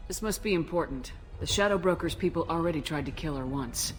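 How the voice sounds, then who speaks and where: An actress playing a focused, determined military commander, the heroine of a video game. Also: female voice, low-pitched, a little fast, soft background music, lightly acted. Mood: focused, matter-of-fact.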